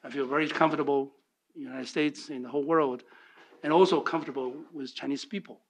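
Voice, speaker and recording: A man from Taiwan, giving halting remarks full of fillers and restarts as a speaker at a policy forum. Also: medium pitch, steady tone, vocal fry, breathy edge, low-quality recording. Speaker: panel speaker.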